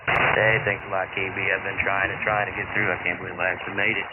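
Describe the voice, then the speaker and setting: A man's voice: sits low, flat delivery, fast. A man calling in over two-way amateur radio in a casual conversational style. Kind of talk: casual radio contact chat. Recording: narrow, very noisy shortwave radio link.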